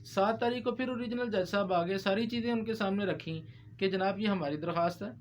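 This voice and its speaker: A man's voice: high voice, fairly quick. A man explaining legal procedure as a lawyer in discussion, mixing in English legal terms. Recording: clear background noise.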